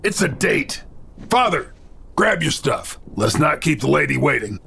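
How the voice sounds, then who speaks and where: A man playing a cocky, wisecracking tough soldier in a video game, with a gravelly, deadpan delivery. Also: a little high, unhurried, a little hiss or hum, heavily acted. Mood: wry, confident. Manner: deadpan, quipping.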